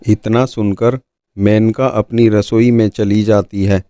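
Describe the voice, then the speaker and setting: A man's voice: on the low side, level tone, slow, warm tone, clean tone, no room sound, studio quality. A man reading scripted narration of a horror folk tale for a cartoon. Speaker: storyteller. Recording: music behind the voice.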